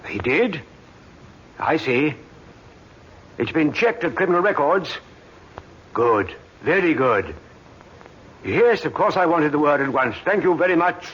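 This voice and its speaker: A brisk, authoritative police inspector in a vintage radio crime drama. His voice is on the high side, clipped and formal. The old recording is muffled with light hiss. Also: expressive, a bit bright. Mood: brisk, official. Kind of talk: 1950s radio drama acting.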